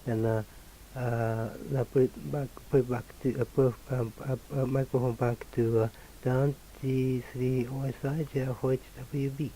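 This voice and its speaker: A man from Japan speaking English with a Japanese accent, halting and repeating words in an amateur radio contact. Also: medium pitch, flat delivery. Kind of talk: casual ham radio contact. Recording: very muffled, hissy radio link.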